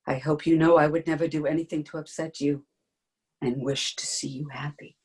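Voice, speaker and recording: Breathy queen calming her lord, soft reassuring tone. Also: sits low, brisk. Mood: soothing. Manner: calm reassuring, drops to whisper. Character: queen soothing her husband, adult woman. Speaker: actor in dramatic reading.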